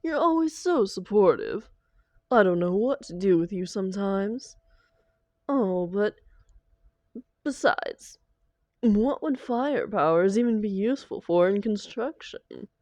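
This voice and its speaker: A woman's voice: medium pitch, animated, slow, pauses often, a little mellow, dry recording. A woman playing a theatrical female supervillain and doting, grumbling lover in a scripted audio roleplay. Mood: reluctant, fond. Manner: theatrical, affectionate pet names, exasperated protests.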